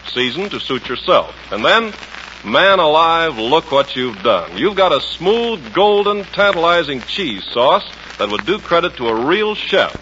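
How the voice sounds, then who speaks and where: A man's voice: a little high, bright, forceful tone. A man in folksy, emphatic 1940s radio style, announcing and trading patter on an old broadcast. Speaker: radio announcer and comic performer. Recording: muffled 1940s radio recording, noisy.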